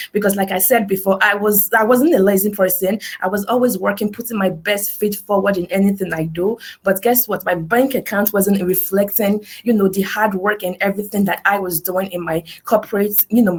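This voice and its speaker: A woman's voice: mid-range voice, slightly rough. A woman giving an earnest webinar testimonial from her home, full of "you know" and "right?". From Nigeria. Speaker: business testimonial speaker. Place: her house in Nigeria.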